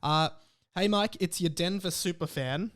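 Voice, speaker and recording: Higher-voiced Australian man, casual podcast host. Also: measured pace, a bit bright. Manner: rambling, interrupts his own reading with asides. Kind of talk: casual chat, reading out listener messages.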